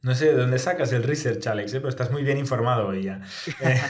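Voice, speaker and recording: A man's voice: medium pitch, fairly quick, darkish tone. Spanish man, echoey recording. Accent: Spanish from Spain. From Spain. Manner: reflective, explanatory, often adds tag questions. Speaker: guest, startup founder. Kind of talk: conversational interview answers. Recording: echoey, reverberant room sound.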